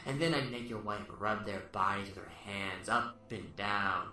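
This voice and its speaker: A man's voice: lowish voice, moderate pace, sharp tone, distant mic. A man playing a sadistic, gloating serial killer in a radio play, taunting and menacing his victims. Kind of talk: amateur radio play acting. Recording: echoey, off-mic, music underneath.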